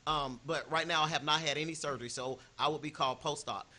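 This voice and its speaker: Earnest man talking, bright tone. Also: on the high side, steady tone, fast. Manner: earnest, lots of "you know" and restarts. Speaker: panel guest. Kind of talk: casual panel discussion.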